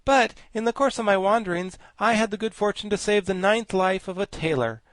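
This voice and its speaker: A man voicing a pompous, learned male insect gentleman with grand, formal speech. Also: high voice, fairly quick, no room sound, voice pushed up. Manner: grandiloquent, formal, self-satisfied. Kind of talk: audiobook character voice.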